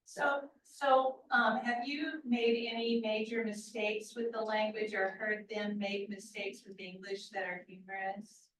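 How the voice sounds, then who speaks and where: A woman moderating on a video call, asking halting questions that she rephrases as she goes. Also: medium pitch, steady tone, a bit bright. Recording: echoey room from far off, faint noise.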